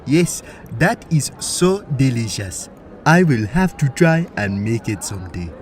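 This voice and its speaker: A man's voice: medium pitch, sing-song, faint music behind. A man playing a friendly, naive traveler in a fantasy audio drama, speaking formally and innocently. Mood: pleased, curious. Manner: innocent, deliberate, formal wording.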